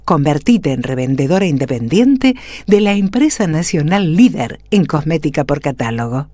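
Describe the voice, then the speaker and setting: Casting: Argentine woman ad announcer, low mellow voice, lively melodic read, faint music bed. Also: normal speed, no echo. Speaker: commercial announcer. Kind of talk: scripted radio ad read.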